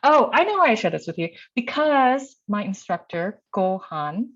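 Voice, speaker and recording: A woman's voice: medium pitch, sing-song, moderate pace. A middle-aged woman poet and teacher giving a reading of her work with informal, self-deprecating remarks. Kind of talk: poetry reading with casual introductory remarks. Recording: dull, lo-fi sound.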